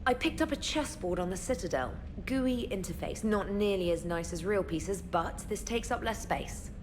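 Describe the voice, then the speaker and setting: An actress playing a young naval specialist in a video game, bookish and witty, with an articulate, wry and teasing way of talking. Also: female voice, medium pitch, expressive, normal speed, natural voice. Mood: friendly, teasing. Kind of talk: dramatic video game acting. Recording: background noise and ambience.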